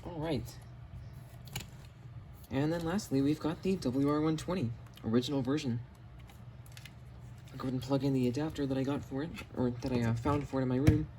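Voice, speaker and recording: A man talks casually through a product demonstration like a hobbyist showing off his finds. It is a home video with clear background noise, picked up on a camera microphone indoors. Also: mid-range voice, fairly quick, long pauses. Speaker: video host, hobbyist collector.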